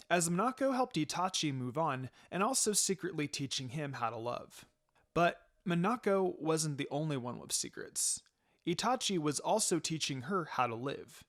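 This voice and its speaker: A man's voice: on the high side, a bit bright. A man narrating a scripted fan fiction story as a storyteller. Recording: music behind the voice.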